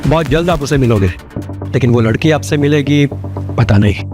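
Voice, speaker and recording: An actor playing a teasing, obliging manager in an audio drama, with a deferential but playful manner. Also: male voice, mid-range voice, normal speed, over music, close to an everyday voice. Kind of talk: Hindi audio drama acting.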